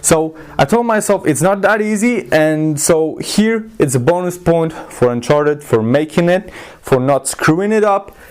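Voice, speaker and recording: A man's voice: fairly high pitch, animated, unhurried, darkish tone, clear, resonant tone. Rambling male movie reviewer, casual. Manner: rambling, self-interrupting, informal. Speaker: YouTube film reviewer and channel host.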